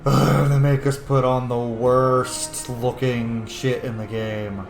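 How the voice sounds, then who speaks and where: A man's voice: mid-range voice, little pitch movement, takes it slowly, roomy sound. A man giving casual, chatty video game commentary, reacting aloud as he plays. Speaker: gaming video host. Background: game music.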